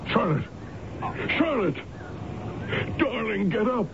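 An actor playing a devoted husband in an old-time radio drama, speaking in a grave, deliberate, gentle manner. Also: male voice, sits high, very animated, over music, full character voice. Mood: alarmed. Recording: muffled, noisy old radio broadcast.

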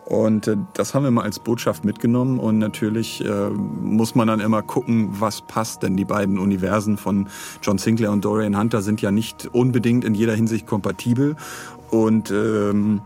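Low-voiced man, casual podcast talk. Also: little pitch movement, normal speed, darkish tone, soft background music. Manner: hesitant, meandering, seeking agreement with tag questions. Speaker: podcast co-host and audio drama producer. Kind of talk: casual discussion.

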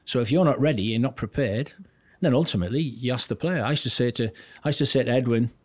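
A man's voice: medium pitch, quick pace, a little mellow, no room sound. An older man from north-east England, a podcast guest telling long, rambling stories about his coaching days in a chatty interview style. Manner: chatty, rambling, restarts sentences, acts out quotes. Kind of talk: casual anecdotal storytelling.

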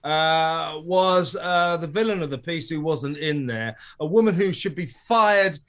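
A man's voice: a little high, unhurried, a bit bright. A man giving scornful, emphatic political commentary as a guest in a chat, fond of hyperbole.

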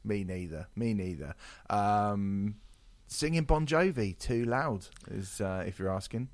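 A man hosting a casual music podcast, jokey, sweary and self-deprecating, his voice a little hoarse from a sore throat. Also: fairly low pitch, a little slow, no room sound. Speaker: podcast host.

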